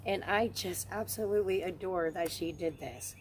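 A woman's voice: lowish voice, normal speed, slight background noise, poor audio. A woman in her forties talking frankly and casually to a handheld phone camera outdoors in the woods. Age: 40s. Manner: frank, relaxed, chatty. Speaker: witchcraft channel host. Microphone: handheld phone camera at arm's length.

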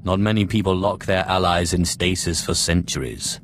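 An actor playing a confident, dry Sith apprentice in a video game, with a cool, sardonic, measured delivery. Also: male voice, low voice, steady tone, a little hiss or hum, lightly acted. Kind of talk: video game character dialogue.

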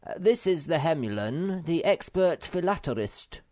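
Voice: medium pitch, very animated, moderate pace, a little mellow, voice pushed up, close to an everyday voice. A reader voicing Moomin Troll, an eager young troll who boasts and chatters. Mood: boastful.

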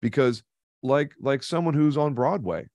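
A man in his thirties, a podcast guest in a home studio, coaching the listener emphatically on a boom-mounted studio microphone. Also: moderate pace, darkish tone, dry recording. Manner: emphatic, coaching, repeats key points. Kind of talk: conversational webinar interview. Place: home studio with neon sign. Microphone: studio mic on boom arm, headphones.

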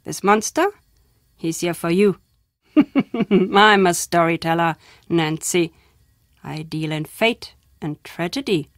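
A woman playing an enigmatic, foreboding traveling storyteller in an adventure game, stern and deliberate in her phrasing. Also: on the low side, no echo, clean studio sound. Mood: wry, cryptic. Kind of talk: adventure game character acting.

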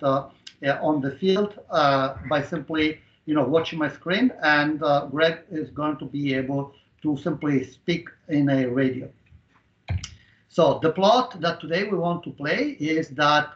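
A middle-aged man explaining a software demo step by step with halting, non-native phrasing, over a video-call headset. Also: fairly high pitch. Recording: dull, roomy online call audio.

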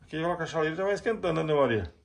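A man's voice: fairly high pitch, animated, racing, creaky. A man chatting casually to viewers as a vlog host, in a folksy way full of little check-in tags. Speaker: vlog host filming a visit. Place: visit to a rural house and its yard.

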